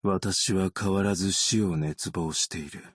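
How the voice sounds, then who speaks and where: Deep, husky, world-weary game optician. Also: male voice, fairly even intonation, dry recording, studio quality. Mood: weary, resigned. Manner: measured, understated, world-weary. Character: weary, gentle, long-lived male optician, quietly melancholic. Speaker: video game character voice actor. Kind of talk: scripted game character voice lines.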